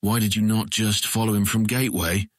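Man playing a low-voiced, forthright frontier ranger. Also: steady tone, a little fast, no room sound, studio quality, close to an everyday voice. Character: male frontier ranger, forthright, embittered, proud. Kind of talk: dramatized fantasy audiobook acting.

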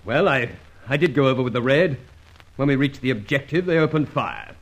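Cornered traitor spy, defiant, sullen, defensive, lively intonation, medium pitch, vintage radio drama. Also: male voice, brisk, rough recording. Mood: reluctant. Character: cornered, defiant male traitor spy, former army captain. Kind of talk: old radio drama acting.

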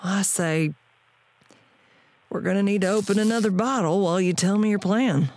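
A woman's voice: medium pitch, moderate pace, heavy background noise, natural voice. A woman playing a world-weary, plain-spoken radio tinkerer with a folksy frontier manner in an audio drama. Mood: wry.

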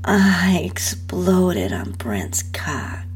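A woman narrating an intimate first-person adult story in a breathy, half-whispered delivery. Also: fairly low pitch, sing-song, extremely slow. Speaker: host and narrator reading own story.

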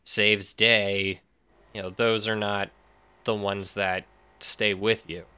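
A man's voice: on the low side, flat delivery, slow pace, sharp tone, smooth. A man explaining things as an interview guest in a casual chat, thinking aloud as he goes. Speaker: podcast writer, interview guest.

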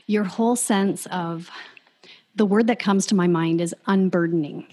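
Female podcast host in her thirties, slightly low pitch, warm and reflective, sincere conversational delivery. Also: measured pace. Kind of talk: casual podcast chat.

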